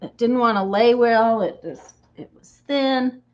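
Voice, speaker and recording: A woman hosting a craft livestream, chatting casually and greeting viewers by name as she works, her voice slightly croaky from a cold. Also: fairly high pitch, unhurried, dull audio. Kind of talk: casual chat while crafting.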